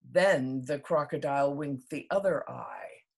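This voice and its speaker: A woman reading a children's story aloud as storyteller, with theatrical, deliberate emphasis. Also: sits low, darkish tone, husky.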